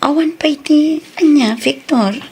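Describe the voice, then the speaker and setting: Radio drama wife, Filipino accent, actress as a loving young nag with a fairly high voice, slightly noisy recording. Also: female voice, unhurried, a little mellow. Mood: questioning. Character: affectionate, gently nagging young wife.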